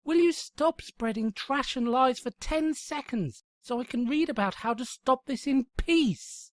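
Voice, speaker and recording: A woman voicing an indignant, easily exasperated female pony princess in a shrill, exclamatory cartoon manner. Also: lively intonation, unhurried, no echo, clean studio sound. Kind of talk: cartoon fan-fiction voice acting.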